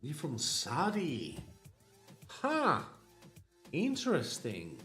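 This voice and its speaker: A man's voice: a little high, huge pitch swings, drawn-out words, bright, forceful tone. Casting: male streamer from Italy, rambling casual chat, stammers and laughs, faint music underneath.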